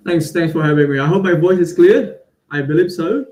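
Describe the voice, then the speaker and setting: A man's voice: a little high, brisk, a little mellow. A man from Indonesia, a guest English teacher answering politely with many fillers. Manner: polite, lots of fillers and agreeing murmurs. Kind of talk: interview answers.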